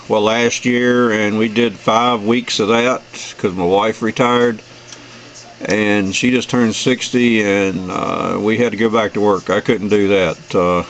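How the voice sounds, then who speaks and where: A man's voice: fairly low pitch, a little hiss or hum, poor audio. An older American man chatting casually on amateur radio in a folksy, rambling way. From United States. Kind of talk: casual chat over the radio. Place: at a home base radio station. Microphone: desk radio microphone in the room.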